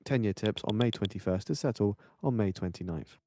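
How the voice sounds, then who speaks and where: A man reading terse financial news headlines in a flat, measured way. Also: fairly low pitch, background noise. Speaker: market news reader.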